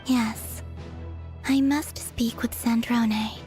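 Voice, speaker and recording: Serene young game goddess, airy, soft, solemn, fairly high voice, light music underneath. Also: female voice, steady tone, extremely slow. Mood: calm, resolute. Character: serene, mysterious young female moon goddess maiden. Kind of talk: English video game voice acting.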